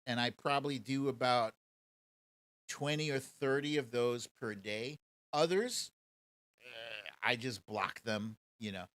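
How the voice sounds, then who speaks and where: A man's voice: medium pitch, takes it slowly, pauses often, fairly bright tone, no echo. An American man hosting a livestream, chatting casually and mockingly as he comments and answers viewer questions in colloquial speech. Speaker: host of a live commentary show.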